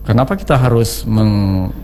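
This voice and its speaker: A man's voice: fairly low pitch, dark tone. South Sulawesi man, emphatic, over noisy hum. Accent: South Sulawesi Indonesian. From Indonesia, South Sulawesi. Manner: emphatic, rhetorical questions, halting searches for words. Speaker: government official, interview guest. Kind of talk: radio interview, explanatory answers. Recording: clear background noise with hum.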